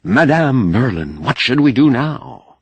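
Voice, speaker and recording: A man's voice: mid-range voice, sing-song, unhurried, husky, dry recording, pitched above the performer's own voice, heavily acted. A man voicing a stage magician with mildly puzzled, offhand showman's patter in an audiobook. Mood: apologetic showman. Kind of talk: audiobook character acting.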